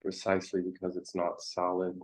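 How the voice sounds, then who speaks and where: A man leading a guided meditation as a teacher, contemplative, speaking in short halting phrases with long pauses, on a dull, muffled recording. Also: sits low, completely flat delivery.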